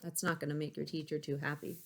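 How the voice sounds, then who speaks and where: A woman teacher reading a picture book aloud to young pupils, expressive, with chatty asides. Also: deep voice, quick pace, creaky. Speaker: elementary school teacher.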